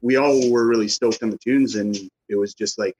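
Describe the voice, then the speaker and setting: A man in his forties talks in an easygoing, rambling way, circling back and restarting his sentences. His voice is husky and a little rough, sitting at an ordinary pitch for a man. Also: fairly even intonation, brisk, darkish tone, rough recording. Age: 40s. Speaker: band member, guest. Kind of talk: casual interview chat.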